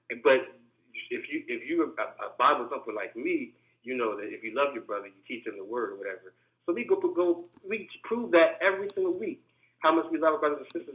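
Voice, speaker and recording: A man from Delaware calling in to give his opinion, talking quickly and repeating himself. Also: mid-range voice. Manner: rapid, repeats words, many "you know what I mean". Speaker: caller. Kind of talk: phone-in comment. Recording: tinny phone line.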